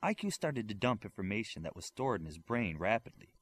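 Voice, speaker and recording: A man's voice: lowish voice, very animated, brisk, slightly rough. A man reading a scripted story aloud as an audiobook narrator. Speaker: audiobook reader.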